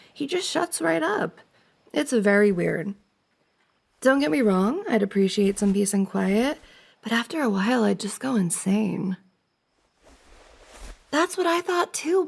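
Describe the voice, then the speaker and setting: A woman's voice: a little high, vocal fry, a little mellow. A woman playing a friend's giggly, teasing older sister in an intimate, conversational ASMR roleplay. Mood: musing, teasing.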